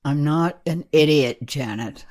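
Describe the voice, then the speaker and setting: An actress playing a touchy, sarcastic time-travelling camera operator in conversational radio play dialogue. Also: female voice, low-pitched, measured pace, a little mellow. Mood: sardonic, irritated.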